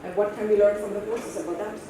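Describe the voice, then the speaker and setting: A woman with a German accent gives a conference talk as a horse-assisted coach, earnest and measured. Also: medium pitch, level tone, noisy background. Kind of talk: conference presentation. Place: large conference hall. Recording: echoey hall, distant mic.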